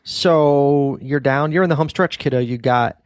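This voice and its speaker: A man's voice: medium pitch, unhurried, no echo, studio quality. A middle-aged father hosting a casual podcast with his daughter, teasing playfully and often restarting his sentences.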